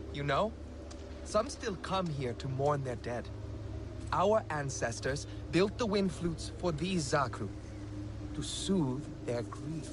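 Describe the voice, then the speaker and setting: An actor playing a wry, eccentric old alien hermit in a video game, speaking in poetic, whimsical phrases. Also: male voice, fairly high pitch, background noise. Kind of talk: video game cutscene acting.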